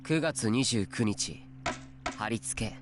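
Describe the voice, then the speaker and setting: A male actor playing an earnest, insistent teenage schoolboy sleuth in a Japanese visual novel, speaking deliberately. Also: mid-range voice, music in the background, natural voice. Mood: grave.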